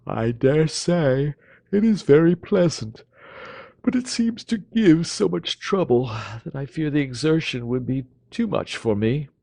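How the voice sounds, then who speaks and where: A man voicing a lazy, languid young gentleman who drawls every phrase as if talking tires him. Raised, unhurried and affected, the voice is read dry and close. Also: a little high, expressive, creaky, dry recording, voice pushed up, exaggerated voice.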